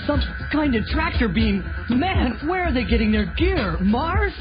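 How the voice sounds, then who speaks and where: A brash young male mutant turtle from a cartoon radio play, high and very animated, wisecracking and excitable. Loud music sits behind the dull recording. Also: unhurried. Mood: strained, defiant. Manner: sarcastic, excitable. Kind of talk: cartoon audio drama acting. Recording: dull lo-fi 1980s audio drama recording. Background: loud music score.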